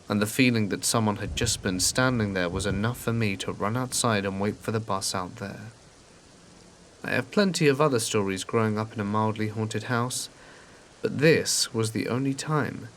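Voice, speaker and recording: Low-voiced man narrating over faint rain. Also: a bit bright, a little hiss or hum. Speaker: narrator of submitted stories. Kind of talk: calm scripted horror narration. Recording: faint rain ambience behind.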